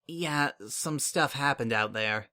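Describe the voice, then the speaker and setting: A man voicing a tired, guarded young female unicorn in an audiobook-style reading, with a slightly raised pitch and a defensive edge. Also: slow pace, pushed and bright. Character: weary, defensive young mare unicorn on the run.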